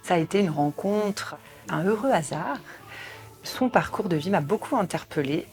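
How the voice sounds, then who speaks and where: A woman in her late forties from southern France, a philosophy teacher speaking in a thoughtful, measured way. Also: lowish voice, lively intonation, darkish tone, faint music behind. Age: 40s. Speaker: philosophy teacher, podcast host. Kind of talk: calm spoken portrait.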